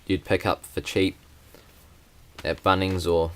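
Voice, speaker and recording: A man's voice: low voice, flat delivery, slow pace, fairly bright tone. A young man, talking hesitantly with many fillers in a casual vlog. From New Zealand. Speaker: vlogger.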